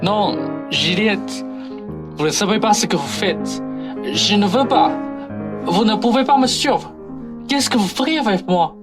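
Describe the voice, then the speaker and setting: A young woman playing a gruff but soft-hearted male thief, condescending and easily flustered, in a comic radio play. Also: medium pitch, a little slow, close to an everyday voice. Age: young adult. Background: music.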